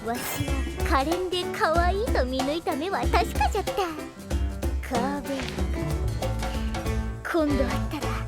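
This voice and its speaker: Haughty tiny anime game creature, squeaky high, swings all over, talks like a bossy old-timer. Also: female voice, expressive, normal speed, pauses often. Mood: smug, grumbling. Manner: bossy, self-admiring, archaic elderly phrasing. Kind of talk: Japanese anime game voice acting. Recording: loud game music behind voice.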